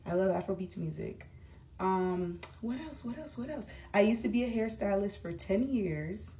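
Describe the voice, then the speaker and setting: A woman's voice: medium pitch, animated, normal speed, warm tone, recorded from a distance, a little hiss or hum. A woman in her thirties chatting casually to camera while doing her makeup, rambling with lots of fillers. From United States. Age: 30s. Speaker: vlogger.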